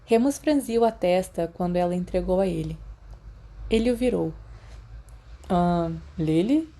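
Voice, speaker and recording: A woman's voice: lowish voice, moderate pace, mellow. A woman with a Brazilian accent, reading scripted fan fiction as an audiobook narrator.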